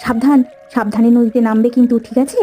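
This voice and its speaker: A woman's voice: fairly high pitch, steady tone, fast, dark tone, natural voice. A woman voicing an affectionate, devoted young sweetheart in a cartoon story, tender and coaxing. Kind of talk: acted cartoon story dialogue.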